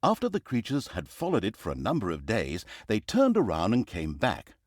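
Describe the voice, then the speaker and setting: An actor playing a sardonic, theatrical storyteller, narrating a comic fantasy video game in a wry, mock-grand way. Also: male voice, fairly low pitch, wildly swooping pitch, normal speed, no echo, studio quality. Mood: dryly mocking.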